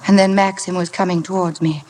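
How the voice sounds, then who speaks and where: An actress playing a timid, naive young bride, earnest and emotional, in a 1950s radio drama. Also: female voice, fairly low pitch, flat delivery, fairly quick, darkish tone, a little hiss or hum, natural voice. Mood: haunted, reflective. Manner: earnest, hesitant, breathlessly emotional. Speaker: lead actress and narrator of a radio play. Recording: vintage radio broadcast with orchestral music.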